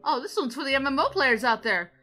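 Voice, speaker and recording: A woman's voice: high-pitched, very animated, fast, sharp tone, soft background music, heavily acted. A woman voicing an elegant female anime attendant, teasing and playfully prim, in improvised gaming banter. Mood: chatty, curious.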